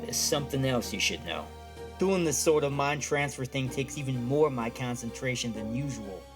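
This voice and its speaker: A man's voice: medium pitch, expressive, moderate pace, over music, close to an everyday voice. An actor playing an irritable, wisecracking male psychic who talks in old-time streetwise slang in an audio drama. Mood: grave, explaining. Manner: slangy old-time wise guy phrasing.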